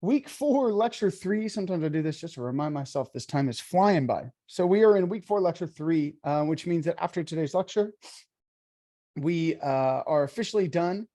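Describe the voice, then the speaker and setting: Man, bootcamp instructor, congested and nasal; easygoing, rambling lecture delivery, warm tone. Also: a little high, animated, normal speed, no echo, clean studio sound. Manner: chatty, filler-heavy, self-correcting. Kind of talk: casual online lecture.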